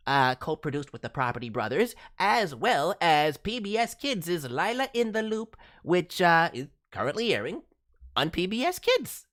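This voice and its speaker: Swooping, excitable cartoon raccoon. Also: male voice, high-pitched, heavily acted. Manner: theatrical, playfully mangles words. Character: excitable cartoon raccoon toon, reformed villain, chirpy male. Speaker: host, voice director reviewing demo reels. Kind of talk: livestream hosting in character as a cartoon VTuber.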